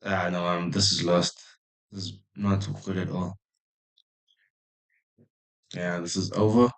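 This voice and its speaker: A man from South Africa talks through his chess moves at a slow, unhurried pace, his voice sitting fairly low. He thinks aloud, makes fun of his own play and stops to greet people in the chat. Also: flat delivery, rough recording. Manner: thinks aloud slowly, chatty with viewers, self-deprecating. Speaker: chess streamer. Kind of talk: casual live-stream commentary.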